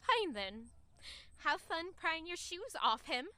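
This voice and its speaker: Earnest, high-voiced young lady. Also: very animated, takes it slowly, pushed and bright, heavily acted. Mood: defensive. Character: sheltered, self-righteous young woman, earnest and dramatic. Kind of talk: audio drama acting.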